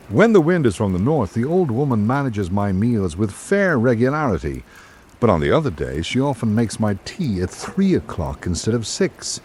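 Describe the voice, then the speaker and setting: A man reading literary scripted narration in a measured, formal, reflective manner, as for a radio drama. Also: on the low side, a little mellow, a little hiss or hum.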